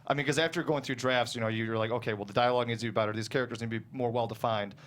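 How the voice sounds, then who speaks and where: A man's voice: mid-range voice, steady tone, rapid-fire, fairly bright tone. A man talking casually as a guest screenwriter about his own writing, in a hesitant, rambling way, often chuckling mid-sentence.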